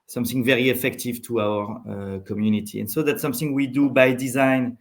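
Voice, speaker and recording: A man's voice: mid-range voice, steady tone. Male executive guest, French accent, medium register, unscripted and somewhat hesitant phrasing. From France. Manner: halting, hesitant phrasing with repeated words. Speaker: interview guest, chief executive. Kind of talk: unscripted business interview answers.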